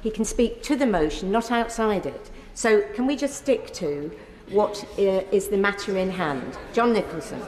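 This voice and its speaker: Older woman in the chair, brisk rulings, echoing hall. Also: mid-range voice, lively intonation, darkish tone. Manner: firm, brisk procedural rulings. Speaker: deputy speaker chairing debate. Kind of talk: chairing a parliamentary debate. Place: debating chamber. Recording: echoey chamber with long reverb.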